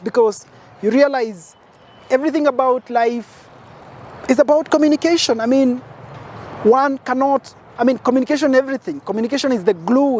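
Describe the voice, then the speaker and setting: A man's voice: extremely high pitch, darkish tone. A man from Kenya, giving an impassioned advocacy speech outdoors and building his points through emphatic, repetitive lists. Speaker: petitioner.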